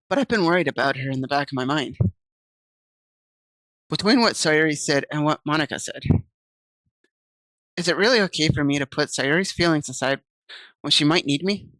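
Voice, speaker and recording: A woman's voice: low voice, moderate pace, pauses often, a bit bright, dry recording, low-quality recording. Woman streamer reading a game aloud. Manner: chatty, reads game text aloud and answers chat. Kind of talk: casual stream, reading a visual novel aloud.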